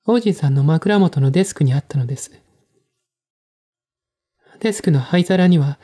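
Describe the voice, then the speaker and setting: Man voicing a mellow, taunting, accusing woman. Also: high-pitched, voice pushed up. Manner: theatrical, pointed, taunting. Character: hysterical, accusing woman in a murder mystery. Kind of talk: dramatized audiobook reading.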